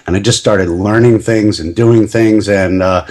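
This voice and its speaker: A middle-aged man with a slightly low voice, chatting as a podcast guest. He is talkative and self-deprecating and laughs at his own jokes. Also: steady tone, a little slow, darkish tone. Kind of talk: casual interview chat.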